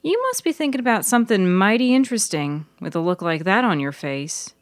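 A woman voicing a lively, teasing woman in an audio story, with a casual and animated manner. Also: mid-range voice, fairly quick, dry recording, voice pushed up, close to an everyday voice.

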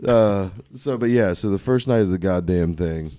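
A congested man with a deep, dark voice rambles through a story, swearing freely and laughing at his own jokes. The sound is close and dry, with no room around it. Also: normal speed, dry recording. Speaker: podcast co-host. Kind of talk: casual profane banter.

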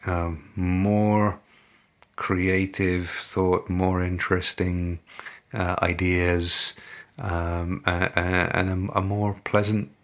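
A middle-aged man answers interview questions slowly and carefully, pausing often and restarting his sentences. His voice sits low and gravelly, with a flat, even delivery. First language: English. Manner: measured, halting, many fillers and restarts. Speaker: company representative, interviewee.

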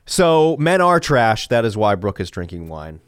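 A man co-hosting a casual podcast, rambling with fillers and quick laughs. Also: fairly low pitch, very animated, clear, resonant tone, no echo, clean studio sound. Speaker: podcast co-host and teacher.

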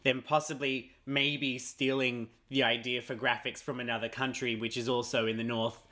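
Young Australian comedian, Australian accent, mid-pitched, easygoing and teasing. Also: male voice, moderate pace. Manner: playful, teasing, relaxed. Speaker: podcast co-host, comedian. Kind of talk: casual comedic chat.

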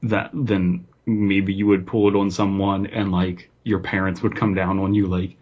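Male co-host, low husky voice, dry humor, casual halting delivery. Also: level tone, a little mellow. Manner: dry teasing, hesitant starts. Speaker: podcast co-host. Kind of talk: casual chat.

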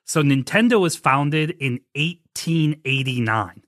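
A man's voice: on the high side, lively intonation, slow, a bit bright, dry recording. Chatty man hosting a podcast, dry sound. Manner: chatty, storytelling, rambling with restarts. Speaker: podcast host. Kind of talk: casual podcast chat.

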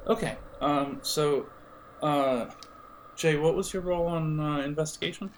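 A man running a tabletop game as game master, improvising scene descriptions in a hesitant, halting way. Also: on the high side, slight background noise.

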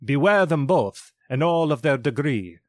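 A man voicing a sorrowful, stern male ghost who loudly declaims warnings. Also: a little high, animated, measured pace, no room sound, studio quality, pitched above the performer's own voice.

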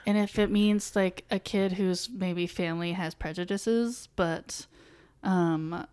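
A woman's voice: lowish voice, slow, smooth. An American woman in her thirties co-hosting a casual podcast chat, acting out quotes and swearing freely as she tells stories. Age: 30s. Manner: animated storytelling with mock quoted voices and swearing. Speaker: podcast co-host. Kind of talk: casual chat about films and sports.

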